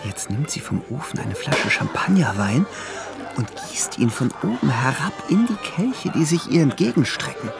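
A man's voice: medium pitch, very animated, normal speed, gravelly. A man delivering scripted present-tense narration in a radio play. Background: music.

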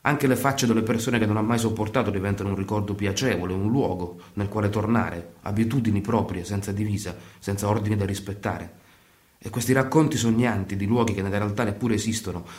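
A man acts a theatrical male storyteller in a radio play. His voice sits fairly low and moves in measured, deliberate phrases. The recording is clearly roomy, with echo around the words. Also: natural voice. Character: male storyteller and troupe leader, measured, wistful. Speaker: narrator.

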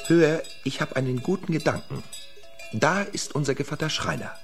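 An actor playing a sly, poor peasant who schemes and insists, in animated fairy tale radio play dialogue. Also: mid-range voice, normal speed, vocal fry, soft background music, lightly acted. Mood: scheming.

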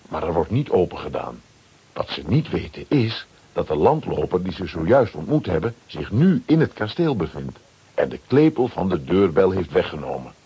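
A man narrating a children's radio play as a scripted storyteller, with a very husky voice. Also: deep voice, dark tone, full character voice.

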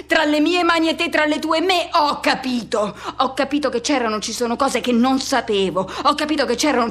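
A woman acting a radio theatre monologue as a yearning, tormented man recalling first love, in short, halting, insistent repeated phrases. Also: a little high, fairly bright tone. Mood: searching. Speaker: actor.

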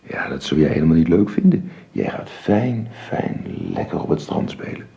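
An actor playing a caring middle-aged father in a radio drama, speaking in a gentle, halting and soothing way. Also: sits low, expressive, breathy edge, full character voice. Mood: tender. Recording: muffled, noisy old radio recording.

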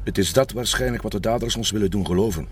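A man's voice: on the low side, fast, a little hiss or hum, close to an everyday voice. A man playing a sceptical, methodical police inspector with a Flemish accent in a radio drama, reasoning aloud a little hesitantly. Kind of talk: naturalistic radio drama dialogue.

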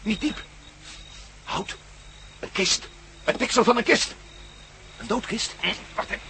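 A man's voice: high voice, measured pace, vocal fry, sharp tone, heavily acted. A man acting a wary, wry adventurer in a Dutch radio play, with a Dutch accent. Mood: curious, tense. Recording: noisy old radio drama with outdoor ambience.

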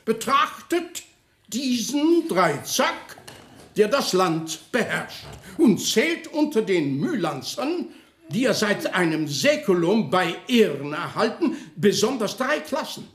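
Radio play: mocking, grandiloquent male official, slow declamation with wide melodic swings, roomy echo. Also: sits high, sing-song, a bit bright, heavily acted. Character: officious, mocking councillor declaiming a satirical speech. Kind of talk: German radio play acting. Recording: roomy, echoing radio studio sound.

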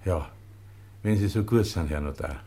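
An actor playing a humble, resolute young farmer in a German radio play, speaking simply, earnestly and deliberately. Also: male voice, low voice, mellow, breathy edge. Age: 30s.

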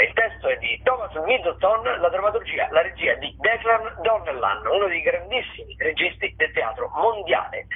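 A man's voice: on the high side, breathy edge. A man giving a semi-improvised radio rundown of upcoming theatre shows as a correspondent over the telephone. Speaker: radio theatre correspondent presenting weekly listings. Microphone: telephone call into a radio programme. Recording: narrow tinny phone line.

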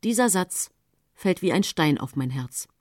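An actress playing a tense, guarded accused woman, narrating her story in measured literary radio drama style. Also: on the low side, animated, no echo. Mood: guarded dread.

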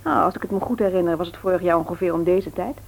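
An actress playing a shopkeeper's chatty, matter-of-fact wife in a radio play. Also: female voice, lowish voice, racing, vocal fry. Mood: gossipy. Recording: old radio drama recording.

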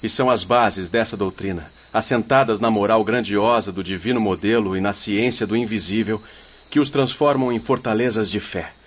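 An actor playing a kindly, wise expedition leader in a radio drama, speaking in a formal, instructive way. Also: male voice, fairly low pitch, moderate pace, natural voice. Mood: earnest, explaining. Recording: faint noise with night crickets.

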